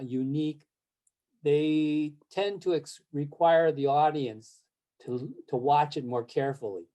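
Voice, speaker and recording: An older man born in Japan and raised in the United States, speaking, answers interview questions over a video call in a halting way, with many fillers and restarts. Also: medium pitch, mellow. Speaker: interview guest, film series board president.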